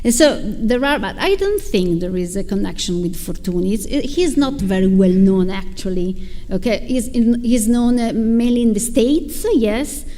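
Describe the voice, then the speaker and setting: Woman lecturer, halting, repeats words, long hall echo. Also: medium pitch, lively intonation, dark tone. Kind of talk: answering questions after a lecture.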